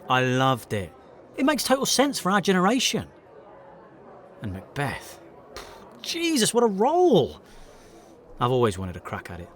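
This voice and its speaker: A man's voice: a little high, wildly swooping pitch, unhurried, long pauses. A man playing a young, struggling British jobbing actor, self-deprecating, insecure and hesitant, in a naturalistic audio drama. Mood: admiring.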